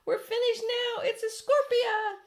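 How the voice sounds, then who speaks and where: A woman voicing a panicky, shouting male chief of police in a storybook reading. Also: unhurried. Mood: panicked. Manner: excited, shouting, high-pitched.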